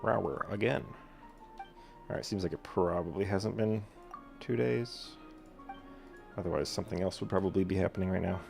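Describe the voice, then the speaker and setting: Gamer guy, very low gravelly voice, casual slangy play-by-play, joking with the characters. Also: normal speed, pauses often, a little mellow, faint music behind. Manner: slangy, jokey asides to game characters. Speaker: video game streamer playing through a quest. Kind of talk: casual gameplay commentary.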